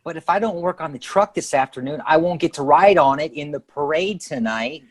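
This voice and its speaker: Eager teenage son, high and husky. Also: male voice, a little fast. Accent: American. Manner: excitable, boastful, slangy. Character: eager, cocky teenage son full of schemes. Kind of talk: live radio sitcom acting.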